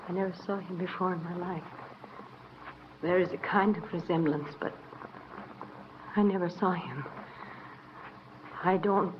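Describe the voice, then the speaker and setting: A woman's voice: lowish voice, steady tone, a little slow, pauses often, lightly acted. A woman playing a guarded, indignant widow, pained and defensive, in an old-time radio drama. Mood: distressed, pleading. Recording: muffled, noisy vintage radio recording.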